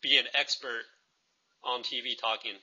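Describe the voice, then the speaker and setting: Bright-voiced man hosting an interview. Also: mid-range voice, steady tone, moderate pace, breathy edge, rough recording. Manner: easygoing, chatty questions. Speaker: interviewer, host. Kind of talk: friendly interview.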